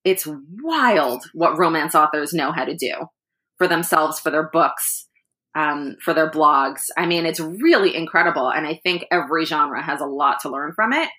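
A woman with a low but bright, forceful voice chats as a guest author, animated and quick to laugh. She talks in a loose, conversational way with frequent restarts. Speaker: author and anthology editor, guest. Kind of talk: casual interview chat.

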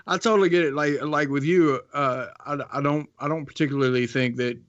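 A man's voice: on the high side, fairly even intonation, fairly quick. A man from the American South with a Southern accent, co-hosting a podcast and rambling casually with many restarts. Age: adult with a child. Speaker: podcast co-host. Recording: dry, slightly muffled audio.

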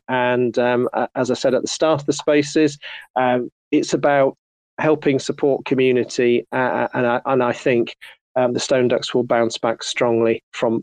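A man's voice: medium pitch, a little mellow, dry recording, rough recording. A man hosting an online audio chat, soothing and polite, with many fillers and restarts. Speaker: host. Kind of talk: casual chat.

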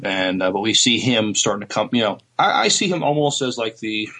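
A man co-hosting a podcast in casual chat, halting and searching for his words. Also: fairly low pitch, sing-song, sharp tone, slightly rough, rough recording. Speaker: podcast co-host.

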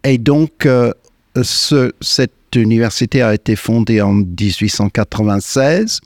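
An older American man, a singer and guest, answering in French in a slow, hesitant way with many fillers and restarts. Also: medium pitch, darkish tone, no room sound, studio quality. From United States. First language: English. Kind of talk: radio interview. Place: radio studio.

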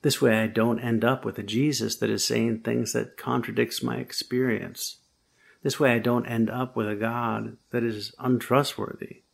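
A man preaching a reflective sermon, slow and thoughtful, building to emphatic points and then telling a first-person story. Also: mid-range voice, flat delivery, vocal fry.

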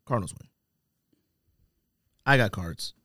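A man's voice: lowish voice, expressive, unhurried, bright, forceful tone, no room sound, clean studio sound. A man hosting a podcast, chatting casually and bantering about sports and sneakers with friends. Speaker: podcast host.

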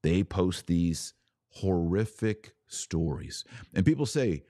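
A man's voice: low voice, sing-song, takes it slowly, dark tone, dry recording, clean studio sound. An American man speaking as a podcast host, giving earnest, emphatic religious commentary that sits between a sermon and live-stream chat. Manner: earnest, emphatic, builds lists phrase by phrase.

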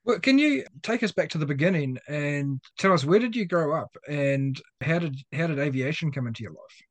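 A man's voice: fairly high pitch, lively intonation, normal speed, no room sound. A man hosting a podcast and chatting casually as he interviews a guest.

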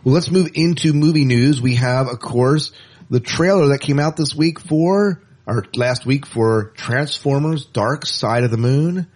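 A man's voice: medium pitch, a little slow, darkish tone, breathy edge. A man co-hosting a podcast, chatting casually and enthusiastically like a fan about entertainment news. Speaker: podcast co-host.

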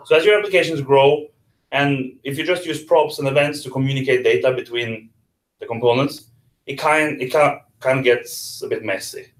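A man's voice: mid-range voice, steady tone, moderate pace. A man guest explaining a workshop in a casual interview, with hesitant restarts. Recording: echoey room.

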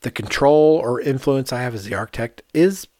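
A man, an architect hosting a podcast, chatting casually and telling animated anecdotes in which he quotes other people. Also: medium pitch, moderate pace, dry recording.

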